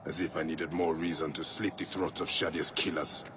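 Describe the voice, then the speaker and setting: A man's voice: very deep voice, steady tone, moderate pace. A man playing a stern, vengeful warrior in a video game, speaking with terse, solemn determination. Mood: brooding, determined. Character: stern, brooding, vengeful warrior turned gladiator. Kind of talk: video game cutscene acting. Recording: loud background noise.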